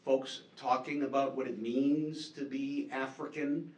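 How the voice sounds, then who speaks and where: A man's voice: medium pitch, fairly even intonation, unhurried. A man with a New Hampshire accent giving a casual conference presentation on market research, chatty and self-deprecating with many fillers and repeats. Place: conference hall. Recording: echoey hall, distant mic.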